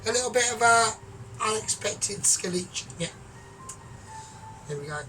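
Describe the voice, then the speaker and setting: A man talking through his cooking in a rambling, grandiose, sweary way, often trailing off quiet. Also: sits high, animated, measured pace. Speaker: home cook vlogger. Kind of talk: casual cooking vlog. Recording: distant mic with music behind.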